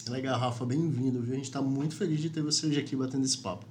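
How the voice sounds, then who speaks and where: Male host for a corporate live chat, mid-pitched, relaxed conversational delivery, faint background hiss. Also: fast talker. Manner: conversational, frequent "né" tags. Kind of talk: company livestream chat.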